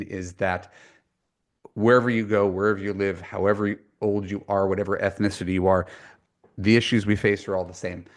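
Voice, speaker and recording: A man's voice: low-pitched, creaky. A man hosting a dating-advice podcast, chatty and self-deprecating, with lots of fillers and restarts. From United States. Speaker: podcast host and dating coach. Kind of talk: conversational podcast intro and coaching.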